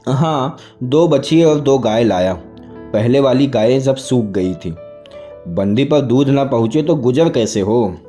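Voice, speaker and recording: A man voicing a boastful, lonely widowed cowherd in his fifties in a storybook, with gruff rustic speech. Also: mid-range voice, unhurried, warm tone, faint music behind, close to an everyday voice. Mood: curt, boastful. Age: 50s. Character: boastful, lonely fifty-year-old widowed male cowherd.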